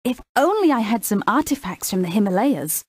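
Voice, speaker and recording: A woman's voice: mid-range voice, sing-song, brisk, breathy edge, no room sound. A woman playing a refined, dreamy writer with a collector's longing in a cartoon game. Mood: wistful longing. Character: refined, covetous writer who collects antiquities. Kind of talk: animated game cutscene acting.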